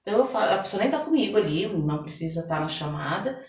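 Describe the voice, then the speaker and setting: Woman, Brazilian accent, lowish voice, explanatory card-reader delivery, emphatic repetition, echoey room, music behind. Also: animated, fast, darkish tone. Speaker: podcast guest, oracle card reader and holistic therapist. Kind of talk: card reading and interview answers.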